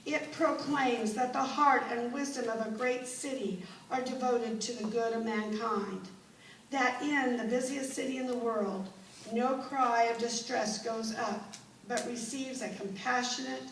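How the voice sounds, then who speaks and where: Actress as impassioned woman orator, higher-pitched, measured declamation, formal stage recitation, roomy sound. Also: fairly even intonation. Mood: stirring, hopeful. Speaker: performer in a dramatization. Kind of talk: dramatic recited oration before an audience. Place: indoor room, recorded from a distance. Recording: roomy, distant mic, background noise.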